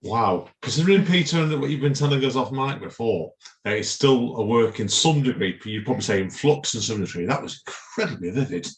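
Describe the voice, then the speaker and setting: A man's voice: medium pitch, lively intonation, fairly quick. A man hosting a podcast, chatting casually and effusively, full of compliments. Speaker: podcast host. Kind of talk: casual chat, responding to a reading.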